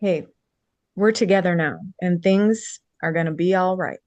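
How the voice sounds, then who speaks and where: A woman playing a warm, earnest young businesswoman in a script table read. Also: fairly low pitch, unhurried, no room sound, natural voice. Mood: warm, encouraging.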